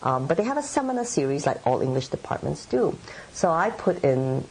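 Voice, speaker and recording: A woman's voice: low-pitched, big pitch swings, brisk, a little mellow, slight background noise, low-quality recording. A middle-aged woman writer from Hong Kong, answering interview questions in a thoughtful, meandering way with many fillers. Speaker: writer and editor.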